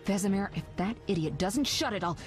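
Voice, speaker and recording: An actress playing an impatient, assertive sorceress in a fantasy video game, speaking bluntly. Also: female voice, mid-range voice, animated, fairly quick, soft background music, lightly acted. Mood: exasperated. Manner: blunt, reproachful.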